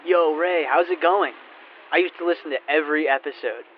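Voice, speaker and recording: An actor as a chatty fan on muffled voicemail. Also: male voice, on the high side, brisk, lightly acted. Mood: friendly, candid. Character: chatty, friendly male podcast fan. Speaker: caller leaving a voicemail. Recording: muffled voicemail with faint noise.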